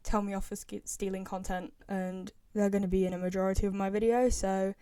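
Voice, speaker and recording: A woman's voice: medium pitch, level tone, fairly quick, mellow, clear, resonant tone, no echo. A young woman giving casual, opinionated video commentary in an informal, emphatic way, repeating herself for emphasis. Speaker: video creator ranking game voice lines.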